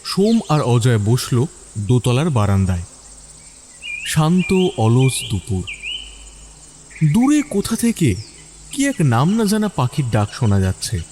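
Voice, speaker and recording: Mellow, expressive male story narrator. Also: mid-range voice, a little slow, long pauses, a little hiss or hum. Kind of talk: dramatic scripted story narration.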